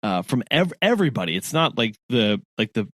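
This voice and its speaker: A man's voice: mid-range voice, very animated, fairly quick, fairly bright tone, dry recording, clean studio sound. A man co-hosting a podcast in casual chat, rambling with many restarts and frequent laughs. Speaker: podcast co-host.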